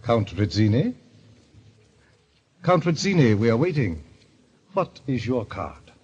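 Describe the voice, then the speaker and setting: An actor playing the polished, coolly menacing president of a deadly card club, formal and courteous, in a golden age radio drama. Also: male voice, mid-range voice, big pitch swings, slow, a little mellow, slightly rough, heavily acted. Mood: insistent. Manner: formal, courteous, commanding delivery. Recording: dull old radio recording.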